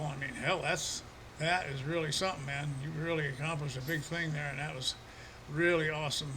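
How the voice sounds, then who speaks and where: A man with a froggy, slightly hoarse throat, chatting casually with his viewers on a live stream while playing a farming game, with an easygoing, chuckling manner. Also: fairly high pitch, slight background noise, low-quality recording. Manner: easygoing, chuckling, talks to chat by name.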